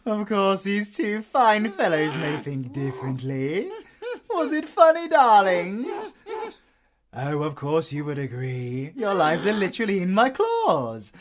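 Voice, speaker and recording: A British man playing a flamboyant, sadistic werewolf villain in an audio roleplay, theatrical and mocking, drawling with long pauses. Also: high-pitched, sing-song, a loud crowd. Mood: smug, mocking.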